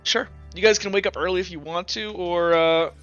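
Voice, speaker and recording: A man acting as game master, chatting casually and narrating in an informal, halting way as he thinks aloud. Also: high-pitched, fairly even intonation, fairly bright tone, dull audio, soft background music.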